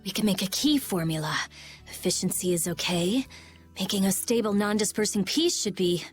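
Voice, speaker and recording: A woman's voice: medium pitch, normal speed, soft background music, close to an everyday voice. An actress playing a brusque, impatient teenage girl genius in a video game, blunt and snappy in delivery. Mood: absorbed. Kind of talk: animated video game acting.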